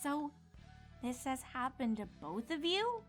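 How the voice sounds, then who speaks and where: A woman voicing an earnest, flustered young pony princess and student in a cartoon-style fan reading, hesitant and excitable. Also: high voice, slow, soft background music, exaggerated voice. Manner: hesitant, self-correcting, excitable.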